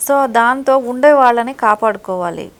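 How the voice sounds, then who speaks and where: A woman's voice: a little high, normal speed. Opinionated woman political analyst. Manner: opinionated, critical, long rambling explanations. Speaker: political analyst interviewed as guest. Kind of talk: conversational political commentary.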